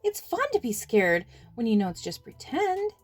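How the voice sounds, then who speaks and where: A woman's voice: a little high, very animated, normal speed, faint music behind. A woman voicing a kind, sensible young girl who comforts and reassures, in a storybook.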